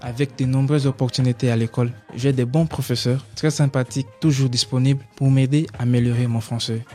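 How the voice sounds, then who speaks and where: A man's voice: medium pitch, fairly even intonation, a little fast, mellow, soft background music. A sixteen-year-old young man from Congo with a Congolese accent, giving a prepared personal testimony on the radio as a student. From Democratic Republic of the Congo. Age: 16, teenager.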